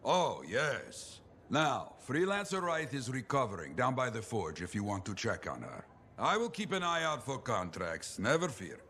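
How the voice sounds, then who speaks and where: Animated, kindly avuncular storyteller. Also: male voice, medium pitch, normal speed, a little hiss or hum. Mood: reassuring. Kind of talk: video game dialogue acting.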